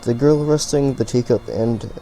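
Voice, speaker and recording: A man's voice: medium pitch, normal speed, dark tone, soft background music, low-quality recording. A man reading a visual novel aloud, often stumbling and restarting words. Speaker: let's play reader.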